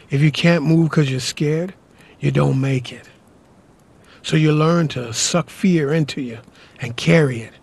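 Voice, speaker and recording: An actor playing a gruff, self-doubting older survivor, wounded and weary, in an audio drama, with a husky, gravelly voice and a halting, strained delivery. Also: male voice, mid-range voice, a little mellow. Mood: reflective, grim. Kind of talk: post-apocalyptic audio drama acting.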